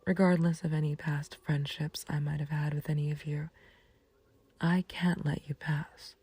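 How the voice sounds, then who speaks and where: A woman playing a calm, taunting villain in an intimate roleplay audio, speaking in a breathy near-whisper with unhurried condescension and mock sympathy. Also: low-pitched, dry recording, exaggerated voice. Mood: mocking disdain. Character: calm, taunting female villain, menacing yet fond of a friend. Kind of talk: scripted roleplay audio acting, intimate ASMR.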